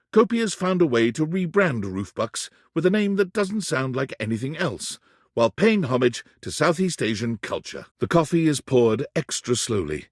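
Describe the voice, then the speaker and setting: A man's voice: a little high, dry recording, studio quality. A man narrating a quirky romance video game in a dramatic, descriptive storytelling manner. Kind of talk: scripted game narration, second person.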